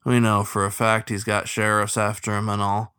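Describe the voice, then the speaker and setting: A man voicing a dry, sarcastic male heron who speaks in a monotone drawl. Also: on the low side, moderate pace, clear, resonant tone, no echo. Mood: deadpan.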